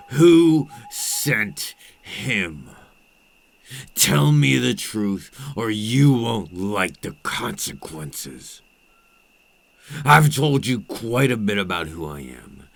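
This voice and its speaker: A man playing a menacing, centuries-old male vampire in an ASMR roleplay drama, speaking in a theatrical, condescending, deliberate way with old-fashioned phrasing. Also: lowish voice, creaky, fairly bright tone, exaggerated voice. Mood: angry, accusing, then quiet threat.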